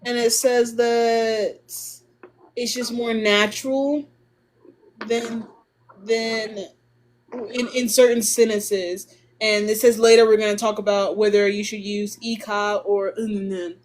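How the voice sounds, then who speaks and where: A young woman casually explaining Korean grammar on a live stream and slowly sounding out Korean phrases. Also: a little high, little pitch movement, a little hiss or hum.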